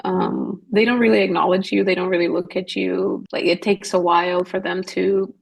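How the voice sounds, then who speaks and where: Husky, gravelly-voiced woman telling stories. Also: lowish voice, level tone, moderate pace, poor audio. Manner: earnest, storytelling, quotes others in dialogue. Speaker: guest missionary. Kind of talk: podcast conversation.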